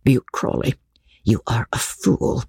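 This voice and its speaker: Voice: medium pitch, sing-song, a little slow, vocal fry, darkish tone, slightly rough, no room sound, studio quality, exaggerated voice. A reader voicing a cold, scornful and clever clergyman's wife in a storybook reading.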